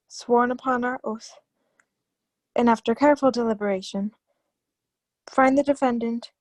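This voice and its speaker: A woman's voice: on the high side, dry recording, natural voice. A woman playing a self-righteous society lady serving as jury foreman in a radio play, formal and controlled.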